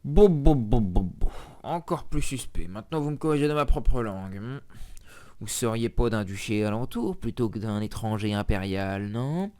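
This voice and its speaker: A grizzled monk archivist in his fifties, suspicious of everyone, keeps his voice low and muttering, as a male storyteller puts him on. His pitch sits mid-range and his talk is full of needling asides and distrust. It comes across as a storybook villain of small power. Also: animated, normal speed, darkish tone, close to an everyday voice.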